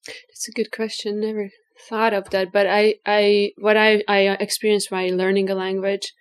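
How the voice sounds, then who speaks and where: A woman's voice: mid-range voice, level tone, normal speed, clear, resonant tone. Hungarian-accented woman, hesitant and thoughtful. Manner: hesitant, thinking aloud with restarts and fillers. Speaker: guest artist. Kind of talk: casual interview.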